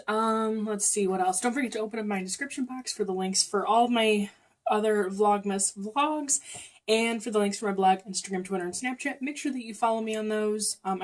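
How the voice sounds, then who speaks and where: A young woman with a slight cold, chatting casually through a makeup tutorial with lots of ums and self-corrections. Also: medium pitch. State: sniffly, coming down with a cold. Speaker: beauty vlogger.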